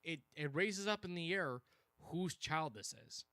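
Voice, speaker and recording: Male livestream reviewer, higher-pitched and bright, casual excitable fan delivery, slightly worn-out voice. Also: measured pace, dry recording. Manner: animated, exclamatory, informal. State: voice tiring, frequent throat clearing. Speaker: livestream host reviewing a TV series.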